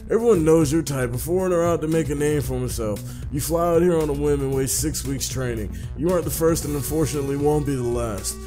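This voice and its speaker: A man's voice: a little high, fast talker, hardly any pauses, over music, lightly acted. Man playing a condescending, smirking rival pro gamer. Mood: scornful. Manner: condescending, taunting.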